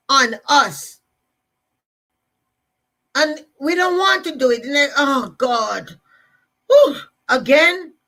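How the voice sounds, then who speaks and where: A woman's voice: sits high, bright, forceful tone. Emphatic woman with Jamaican accent. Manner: repetitive, emphatic, rallying. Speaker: motivational speaker. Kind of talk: motivational talk.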